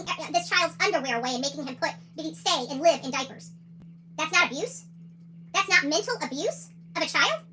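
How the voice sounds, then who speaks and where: A woman's voice: very high-pitched, background noise. A woman hosting a livestream, giving opinionated commentary in a combative, indignant and emphatic way, often repeating phrases for emphasis. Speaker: livestream host.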